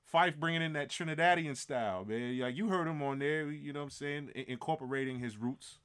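Man, podcast co-host, bright tense voice, enthusiastic, casual chat. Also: fairly high pitch, brisk, smooth. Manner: enthusiastic, gives shout-outs.

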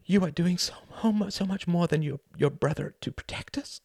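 A man voicing a frightened, pleading older mother of grown sons in an audiobook, with a breathy, catching, tremulous voice. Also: a little high, normal speed, darkish tone, dry recording, clean studio sound, pitched above the performer's own voice. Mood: tender, emotional.

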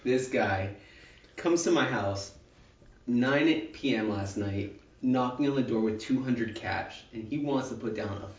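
A man co-hosting a casual sports podcast, a playful storyteller with quick jokes. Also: mid-range voice, distant mic. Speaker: podcast co-host. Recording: echoey room, set back from the mic, lo-fi.

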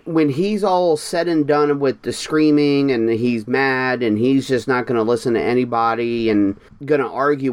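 A man co-hosting a casual podcast, talking earnestly as a parent of a young son, with quick bursts and restarts. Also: mid-range voice, darkish tone. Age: young adult or middle-aged, parent of a six-year-old. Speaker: podcast co-host. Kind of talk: casual chat.

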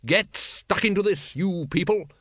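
A man voicing a brisk, kindly woman who speaks brightly and coaxingly in an audiobook. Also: high-pitched, dry recording, clean studio sound, pitched above the performer's own voice. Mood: bright, soothing. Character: brisk, kindly upper-class woman soothing her pets. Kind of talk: audiobook character dialogue.

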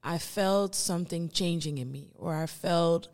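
A young woman speaking slowly and thoughtfully in a fairly low voice, pausing often as she searches for words. She is a guest in a conversation. Her voice carries a long hall reverb. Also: a little mellow. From Netherlands. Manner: thoughtful, searching for words, many pauses and fillers. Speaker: guest performing artist. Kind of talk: reflective conversation.

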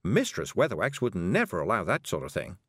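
A man voicing a middle-aged woman witch who speaks slowly, calmly and patiently. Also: low voice, no room sound, studio quality, exaggerated voice. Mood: measured. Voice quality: slow, measured, patient.

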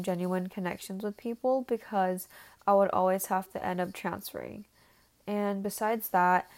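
A woman's voice: medium pitch, a little mellow. Earnest teenage girl sharing her story. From Taiwan. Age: teens. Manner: earnest, slightly halting with fillers. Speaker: student speaker for a youth nonprofit. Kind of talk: prepared personal talk.

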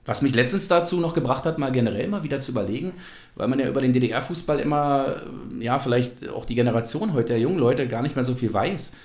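A man hosts a relaxed football conversation in a roomy, echoing space. His voice sits at an average pitch. He rambles along as he reminisces. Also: quick pace. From East Germany. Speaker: podcast host. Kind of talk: casual football podcast conversation. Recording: roomy, echoey sound.

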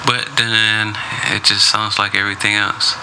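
A man's voice: lowish voice, level tone, normal speed, bright, forceful tone, a little hiss or hum, rough recording. A man co-hosting a casual podcast, talking in a laid-back, slangy drawl. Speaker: podcast co-host. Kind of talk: casual chat.

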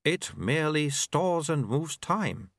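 A man voicing a calm, patient master sage with a measured, matter-of-fact way of talking. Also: fairly high pitch, dry recording, clean studio sound, pitched above the performer's own voice, full character voice.

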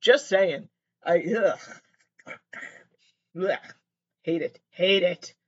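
Male comedic commentator, swooping and animated, halting delivery with sweary, exasperated asides, slightly muffled recording. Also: sits high. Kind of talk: casual comedic commentary, reading messages aloud.

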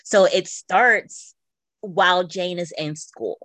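A woman's voice: lowish voice, slow pace, a bit bright, no echo. A woman chatting casually and enthusiastically as a podcast co-host, recommending things she enjoys. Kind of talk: casual chat, recommending books.

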